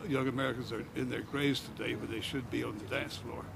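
An older man answers questions outdoors in a slow, halting, blunt manner. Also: medium pitch, steady tone, creaky. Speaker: interviewee, longtime observer. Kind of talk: outdoor street interview. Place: outdoors by a brick building. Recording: outdoor noise behind.

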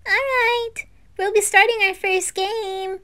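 A woman voicing a bubbly, excitable, teasing teenage girl with gushing, giggly delivery in an amateur anime roleplay. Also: extremely high pitch, voice pushed up, heavily acted. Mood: friendly, chirpy. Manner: gushing, giggly, drawn-out exclamations.

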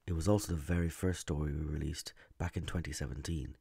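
A man's voice: a bass voice, fairly even intonation, fast, vocal fry, warm tone, breathy edge. A man hosting and narrating a story podcast, reading from a script.

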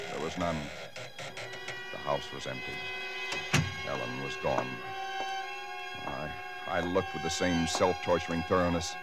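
Very deep, haunted actor as husband, old radio. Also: male voice, lightly acted. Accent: American. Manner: halting, brooding, intense. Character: haunted, dread-filled husband recounting nameless horrors. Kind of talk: 1940s radio drama acting, first-person narration. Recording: 1940s radio broadcast with loud music.

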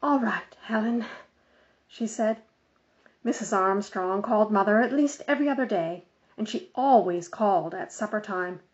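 A woman's voice: medium pitch, normal speed. A woman narrating a children's storybook as a scripted read-aloud. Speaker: audiobook narrator. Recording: dull, muffled lo-fi audio.

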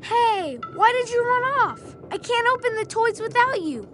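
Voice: very high-pitched, expressive, unhurried, fairly bright tone, faint music behind, heavily acted. An actor playing a brave, reassuring young boy in a video game, speaking in an earnest, encouraging way. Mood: puzzled. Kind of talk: video game cutscene acting.

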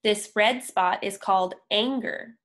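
A young woman reads a children's picture book aloud at a slow pace. Her pitch is average for a woman, and she sets words apart with careful, deliberate pauses. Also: a bit bright. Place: indoor room, on a video call.

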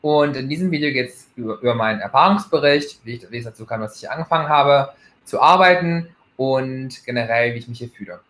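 A man's voice: mid-range voice, a little fast, a bit bright. A man in his thirties from Germany gives a casual recruitment testimonial over a laptop webcam, hesitating often with fillers and restarts. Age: 30s. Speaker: employee giving a testimonial. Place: bright room at home, indoors. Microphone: laptop webcam, video call. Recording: echoey room, laptop mic.

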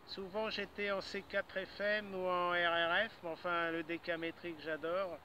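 An older man with a bright, fairly high voice talks casually into a ham radio. He is chatty, dropping tag words after his phrases. He is outdoors, with some noise behind him. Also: level tone, moderate pace. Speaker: amateur radio operator. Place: outdoors in a grassy park. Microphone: camera a few metres away, holding radio hand mic.

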